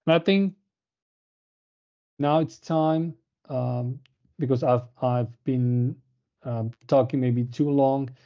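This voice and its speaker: A man's voice: mid-range voice, steady tone, slow pace, pauses often. A man presenting a university programme in a webinar, speaking hesitantly with many fillers and restarts. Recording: muffled lo-fi webinar audio.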